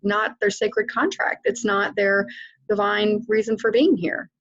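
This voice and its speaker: A woman's voice: mid-range voice, steady tone, measured pace, a bit bright, highs cut off. A woman hosting an interview, speaking emphatically and addressing her listeners directly. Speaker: host. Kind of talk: interview, spiritual coaching chat.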